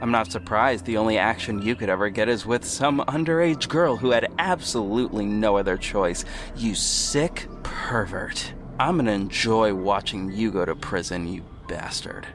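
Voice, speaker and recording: A man playing a protective, self-assured ex-cop detective with a measured, firm delivery in an audio drama. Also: fairly low pitch, fairly bright tone. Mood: contemptuous. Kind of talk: first-person audio drama narration and dialogue. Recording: music bed behind the voice. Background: music.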